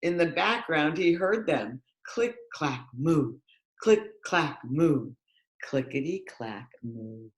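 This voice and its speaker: An older woman reading a story aloud to children with deliberate, expressive delivery. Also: low voice. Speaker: school educator reading to pupils. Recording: echoey room.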